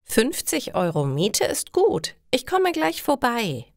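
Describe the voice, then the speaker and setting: Woman voicing a young mother, slow and lively. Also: on the high side, creaky, no room sound, studio quality, pitched above the performer's own voice, full character voice. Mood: earnest. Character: young new mother, practical, fed up with clutter. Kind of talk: acted quotes in a slow learner story.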